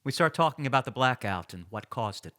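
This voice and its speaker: A man playing a measured, matter-of-fact reporter narrating his interviews in an audio drama. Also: medium pitch, lively intonation, bright, forceful tone. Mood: measured, curious. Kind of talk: audio drama, first-person documentary narration.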